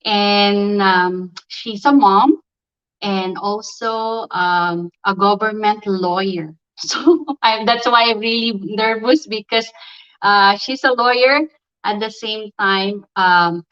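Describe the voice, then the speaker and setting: A woman's voice: medium pitch, takes it slowly, a bit bright, clean tone, dull audio. A woman from the Philippines hosting a live online interview, talking hesitantly with many ums and restarts. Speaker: livestream host.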